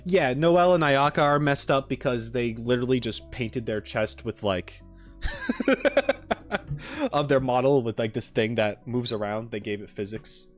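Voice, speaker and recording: A man's voice: mid-range voice, animated, a little slow, faint music behind. A man chatting casually on a livestream as the streamer, in an informal, rambling way with joking asides. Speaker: live streamer reacting and chatting with viewers.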